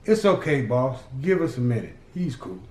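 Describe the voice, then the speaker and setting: A man doing a calm, placating henchman. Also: mid-range voice, normal speed, close to an everyday voice. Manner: easygoing, placating, dry humor. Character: calm loyal middle-aged male henchman. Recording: roomy echo.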